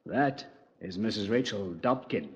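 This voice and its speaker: An actor playing a chatty, understated British police commander in a 1950s radio drama, with a hesitant manner. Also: male voice, on the low side, unhurried, close to an everyday voice. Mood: genial, matter-of-fact. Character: genial British police commander showing grim exhibits. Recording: muffled vintage radio recording.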